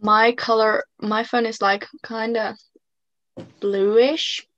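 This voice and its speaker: A woman's voice: a little high, flat delivery, slow, fairly bright tone, lo-fi sound. A woman chatting casually with a co-host about favorite songs, often giggling. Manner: giggly, breaks into singing lines. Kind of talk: casual fan chat.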